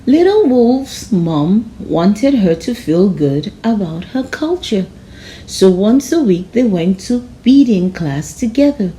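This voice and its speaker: A woman narrating a children's storybook aloud in a measured, expressive storytelling manner. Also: mid-range voice, slight background noise, low-quality recording. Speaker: storybook reader.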